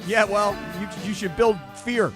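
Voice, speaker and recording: A man's voice: high-pitched, fairly even intonation, measured pace, over music. A man in his forties co-hosting sports talk radio, sounding exasperated and sarcastic, often mimicking others. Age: 40s. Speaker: radio show co-host. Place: radio studio. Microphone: studio microphone.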